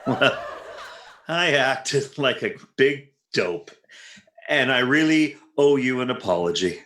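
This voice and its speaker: A man's voice: medium pitch, animated, slow, heavy background noise. A man playing a jealous, dry, exasperated and boastful husband in a 1940s-style radio comedy, in an echoey room. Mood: sheepish.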